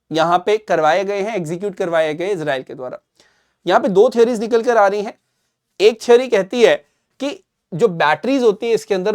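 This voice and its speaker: A man's voice: high-pitched, darkish tone. Emphatic Indian man explaining current affairs. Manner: emphatic, repetitive, switches between two languages. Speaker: educational current affairs presenter. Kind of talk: explanatory news analysis to camera.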